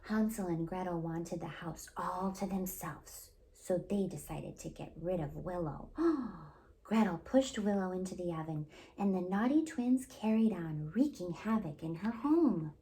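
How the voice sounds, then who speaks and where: Soft mellow lady doing storytime, slow expressive kids book reading, bit of background noise. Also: lowish voice, rough recording. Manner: expressive, deliberate storytelling delivery. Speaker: storytime host and reader. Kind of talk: children's picture book read-aloud, scripted narration.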